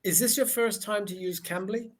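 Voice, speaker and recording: A man's voice: high-pitched, moderate pace. Patient man tutoring English. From United States. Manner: patient, often repeats okay and rephrases questions. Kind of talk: casual conversation lesson over video call.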